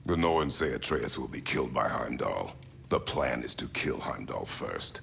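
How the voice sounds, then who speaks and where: A stoic middle-aged war god in a fantasy game. His voice is an extremely low rumble, thick with gravel. He speaks in terse, formal, commanding phrases, grim and controlled. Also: lively intonation, moderate pace, a bit bright, exaggerated voice. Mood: grim, resolute. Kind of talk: dramatic video game acting.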